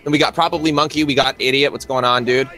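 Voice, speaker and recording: A man's voice: medium pitch, steady tone, fast talker, bright, forceful tone, low-quality recording. A man hosting a livestream, chatting casually with his viewers. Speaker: livestream host.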